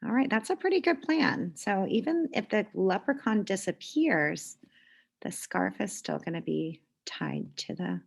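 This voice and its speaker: A woman reading aloud to children in an expressive storytelling style, with deliberate pauses and emphasis. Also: medium pitch, vocal fry, husky.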